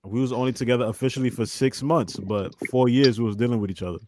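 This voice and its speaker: A man's voice: mid-range voice, fast talker, a little mellow, slight background noise. A man in his twenties chatting casually as a guest on a panel, in informal, slangy speech. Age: 20s. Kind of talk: casual chat.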